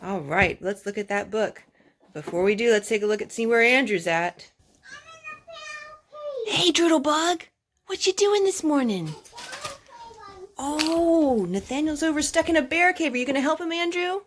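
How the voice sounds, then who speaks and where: Woman reading to little kids, very lively pitch. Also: moderate pace, pauses often. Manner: singsong, coaxing child-directed talk. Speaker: mother reading a picture book to her young children. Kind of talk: storybook read-aloud with chatty asides to children.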